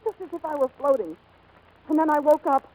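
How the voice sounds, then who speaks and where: A woman's voice: high-pitched, fairly quick, darkish tone, lightly acted. Woman acting a plucky young aviatrix, old radio drama. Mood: remorseful. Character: plucky, self-reproaching young woman racing pilot. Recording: faintly noisy 1940s radio recording.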